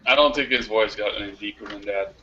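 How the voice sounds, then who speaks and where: A man's voice: lowish voice, fast talker, pushed and bright, lo-fi sound, slight background noise. A man in his twenties on a group video call making blunt, joking asides. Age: 20s. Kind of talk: casual group video chat.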